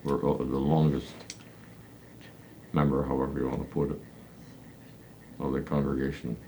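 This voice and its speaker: A man in his nineties from Pennsylvania, recalling his church childhood in slow, halting answers full of hesitant fillers. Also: extremely low pitch, flat delivery, dark tone, slight background noise. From Pennsylvania, United States. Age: 90s. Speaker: elder congregant interviewee. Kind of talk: reminiscing interview answers.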